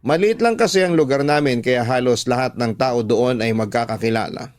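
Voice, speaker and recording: A man's voice: mid-range voice, moderate pace. A man narrating a listener's letter in a scripted, dramatic radio storytelling style. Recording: music bed under the voice. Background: background music.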